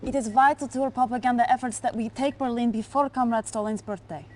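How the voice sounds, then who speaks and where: A woman's voice: a little high, fast talker, a little mellow, lightly acted. An actress playing a cool, exacting female Soviet intelligence officer, precise and challenging, in a video game cutscene. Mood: cool, critical.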